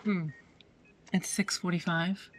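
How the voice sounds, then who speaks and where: An actress playing a friendly, teasing nurse in an audio drama. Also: female voice, on the low side, level tone, slow pace, slight background noise. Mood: gossipy. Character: friendly, teasing woman nurse fond of her patients.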